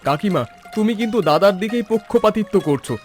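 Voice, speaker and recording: A man's voice: high-pitched, animated, quick pace, soft background music. A man voicing an earnest, talkative young village man in a cartoon drama. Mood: boastful. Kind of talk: cartoon voice acting.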